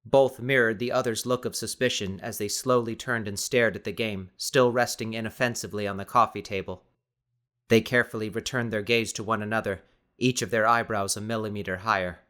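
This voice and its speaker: A man narrating scripted dramatic fiction as an audiobook reader, in a measured, descriptive manner. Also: fairly low pitch, steady tone, fairly bright tone.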